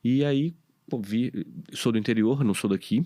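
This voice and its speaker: A man's voice: medium pitch, normal speed, dark tone, dry recording, clean studio sound. A Brazilian man, a podcast co-host and internist, telling his story in casual chat with many fillers and restarts.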